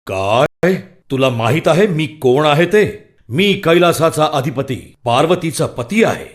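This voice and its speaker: A man's voice: fairly high pitch, animated, slow pace. A man voicing a mighty, wrathful god in a children's mythological cartoon, speaking with commanding, dramatic delivery. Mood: indignant.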